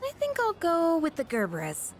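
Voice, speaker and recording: A friendly teenage schoolgirl in a video game. Her voice is very high and swings expressively up and down. She sounds earnest, gentle and lightly teasing, with music playing behind her. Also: wildly swooping pitch, normal speed, full character voice. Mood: cheerful, playful. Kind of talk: English video game voice acting. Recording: background music under the voice.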